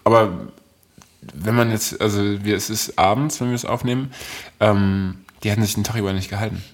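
A man's voice: fairly low pitch, steady tone, a little fast. A man hosting a podcast in casual, comedic chat, riffing playfully in a rambling way. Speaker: podcast host.

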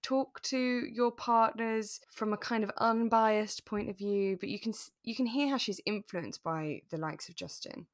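A woman co-hosting a podcast in casual, animated chat about a radio drama. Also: mid-range voice, moderate pace, few pauses. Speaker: podcast co-host.

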